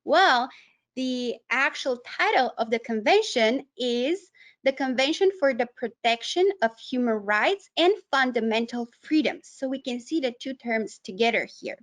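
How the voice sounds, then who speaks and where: A woman with a Romanian accent presenting an educational webinar, explaining things in a halting way with frequent pauses mid-sentence. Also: on the high side, expressive. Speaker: webinar presenter, human rights advocate.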